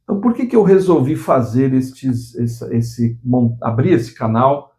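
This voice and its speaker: A man's voice: mid-range voice, lively intonation, measured pace, warm tone. A man in his sixties hosting his own channel, giving an earnest motivational talk to the viewer, often restarting phrases and adding tag questions. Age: 60s. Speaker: channel host.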